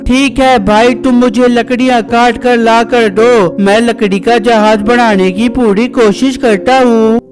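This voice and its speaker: An extremely high-pitched young villager from a cartoon, acted by a man. He is an earnest, hopeful builder who speaks up with simple determination. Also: steady tone, unhurried, hardly any pauses. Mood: hopeful, determined. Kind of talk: Hindi cartoon voice acting.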